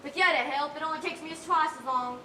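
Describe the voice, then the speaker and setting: In an echoey, noisy room, a woman plays a stern, weary country mother on stage. Her voice is high, and her talk is folksy, impatient and scolding. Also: fast talker, bright, forceful tone. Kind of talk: school stage play acting.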